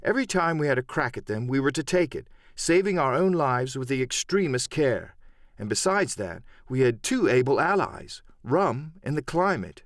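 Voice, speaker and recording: A man narrating an adventure novel as a dramatic audiobook, in a measured storytelling manner. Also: mid-range voice, very animated, dry recording, clean studio sound.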